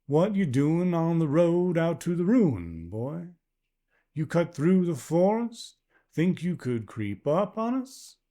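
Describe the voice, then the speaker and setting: A man voicing a wary, weathered older frontier man with an odd near-Southern drawl, gruff and clipped, in a storybook reading. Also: on the high side, very animated, slow pace, warm tone, pitched above the performer's own voice. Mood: suspicious. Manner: gruff, clipped, old-fashioned folksy speech.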